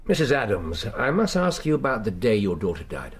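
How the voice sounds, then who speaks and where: A man playing a brisk, impatient English gentleman detective in a radio drama, precise and probing, with an English accent. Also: fairly low pitch, sing-song, slightly rough. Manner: clipped, precise, inquisitorial.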